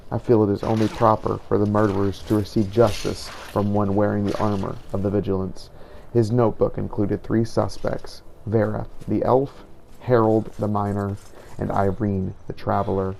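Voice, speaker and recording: A man voicing a solemn, righteous vampire-hunting inquisitor, narrating his hunt in formal, measured, old-fashioned phrasing for a game roleplay. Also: fairly low pitch, little pitch movement, gravelly, dark tone, a little hiss or hum, rough recording. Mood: grim, resolute. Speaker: video game player narrating in character. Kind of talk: scripted first-person roleplay narration.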